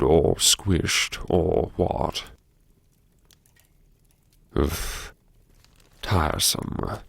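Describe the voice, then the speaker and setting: A man's voice: on the low side, creaky, dry recording, clean studio sound, full character voice. A man playing a wry, erudite, self-mocking university head who muses to himself in old-fashioned, droll phrases, as audiobook narration. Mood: weary, sardonic. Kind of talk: audiobook narration as inner monologue.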